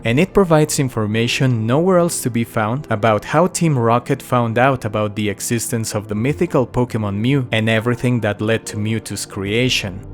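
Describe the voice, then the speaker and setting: A man hosts an explainer video in a scripted narration style. His pitch is average for a male voice, and music plays behind him.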